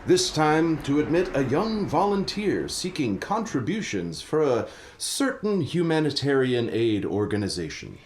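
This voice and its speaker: Slow, animated male storyteller. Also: fairly high pitch, a little hiss or hum. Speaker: narrator. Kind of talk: dramatic scripted storybook narration.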